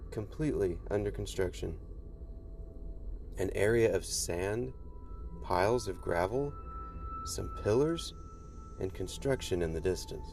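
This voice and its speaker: Male horror-podcast narrator: very low, gravelly voice, measured scripted storytelling over a clear music bed. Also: a little mellow. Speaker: podcast host and reader. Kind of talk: scripted narration of first-person creepy stories. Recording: music under the voice. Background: background music.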